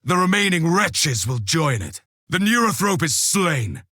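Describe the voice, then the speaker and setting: A man's voice: on the high side, very animated, measured pace, sharp tone, no room sound, clean studio sound, full character voice. An actor playing a grim, pious armored male super-soldier, declaiming solemn insults over slain foes in a video game. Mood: grim contempt. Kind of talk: video game combat voice lines.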